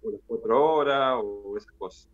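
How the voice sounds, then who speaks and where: A middle-aged man with an Argentine accent, chatting as an interview guest over a video call, filling pauses with "eh" and "viste". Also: on the low side. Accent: Argentine Spanish. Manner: chatty, fills with "eh" and "viste", restarts phrases. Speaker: interview guest, former basketball player. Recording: dull lo-fi video call audio.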